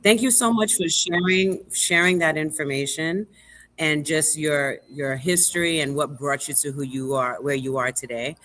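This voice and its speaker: A woman hosting a relaxed live interview, speaking emphatically and repeating words for stress. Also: sits low, normal speed. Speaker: live show host and museum board president. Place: remote video call livestream.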